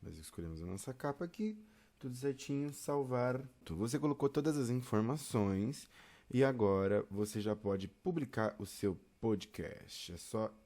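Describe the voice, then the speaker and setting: A man's voice: mid-range voice, dark tone. A man with a Brazilian accent giving an informal step-by-step video lesson in a chatty, encouraging way, addressing learners directly. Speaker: video lesson instructor on podcasting.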